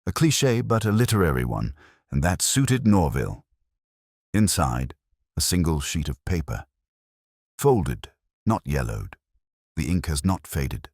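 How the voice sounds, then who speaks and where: A man narrating a first-person detective story in a measured, brooding way, with deliberate pauses. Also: a bass voice, sing-song, a little mellow, dry recording, clean studio sound.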